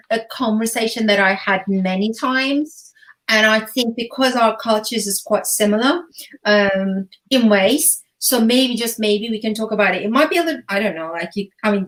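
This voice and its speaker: A woman in her forties from Turkey speaks English as an in an earnest, searching way, pausing on fillers and circling back as she explains. She talks as a relationship coach in a relaxed online chat, her voice sitting at an ordinary middle pitch. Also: rough recording. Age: 40s. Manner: earnest, thinks aloud with fillers and restarts. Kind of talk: casual chat.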